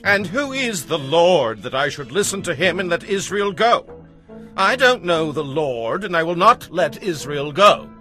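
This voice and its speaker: A man's voice: on the high side, very animated, unhurried, bright, forceful tone, soft background music, pitched above the performer's own voice, heavily acted. A man voicing an arrogant, harsh male ruler of Egypt who barks orders scornfully at his subjects.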